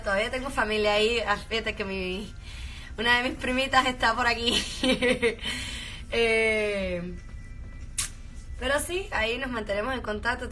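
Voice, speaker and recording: A woman's voice: fairly high pitch, bright, forceful tone. A young woman from Venezuela with a Cuban Spanish accent, chatting casually with frequent laughs, fillers and restarts. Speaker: actress answering fan questions. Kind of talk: casual chat, switching between Spanish and English.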